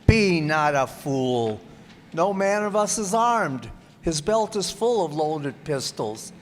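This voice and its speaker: A man playing a grim, wary sailor with measured, archaic speech in an old-time radio drama. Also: a little high, very animated. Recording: hall reverb, faint background noise.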